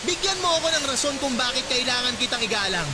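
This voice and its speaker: An actor playing a hot-tempered, hard-drinking Filipino husband in a radio drama, insulting and challenging. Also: male voice, very high-pitched, very animated, quick pace, very noisy. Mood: furious, mocking. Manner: belligerent, insulting, shouting challenges. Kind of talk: Tagalog radio drama acting. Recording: loud dramatic music behind.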